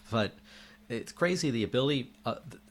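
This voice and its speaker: A man's voice: medium pitch, lively intonation, normal speed. A man delivering an indignant opinion monologue as a political commentator, firing off rhetorical questions while losing his voice.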